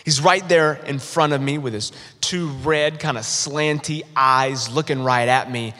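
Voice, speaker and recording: A pastor from North Carolina preaching an energetic sermon, full of quick restarts and calls to the congregation. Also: male voice, mid-range voice. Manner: energetic, stammering restarts, calls for audience response. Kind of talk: sermon with humorous storytelling. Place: large church auditorium. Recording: long reverb of a large hall.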